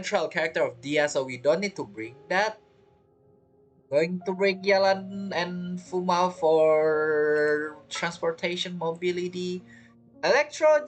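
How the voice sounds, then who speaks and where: A woman's voice: fairly low pitch, poor audio. A woman giving casual, rambling game commentary, talking half to herself and half to viewers. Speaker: gameplay streamer.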